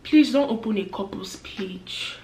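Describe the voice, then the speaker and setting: A woman's voice: mid-range voice, unhurried, a little mellow. Chatty young woman with a roomy echo. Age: 20s. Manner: earnest, chatty, acts out quoted voices. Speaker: vlogger. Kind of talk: casual advice talk to camera. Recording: echoey room.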